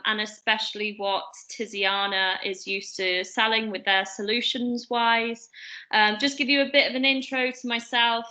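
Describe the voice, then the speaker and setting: A woman's voice: on the high side, pushed and bright. A woman hosting a business interview, chatty with lots of fillers. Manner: chatty, many fillers and hedges. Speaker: host, recruiter.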